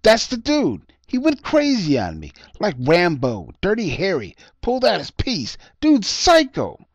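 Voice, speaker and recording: A man voicing a scruffy, jumpy homeless man with excitable street slang in a story reading. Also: high-pitched, unhurried, no room sound, pitched above the performer's own voice, exaggerated voice. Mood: agitated ranting. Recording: dull lo-fi close recording.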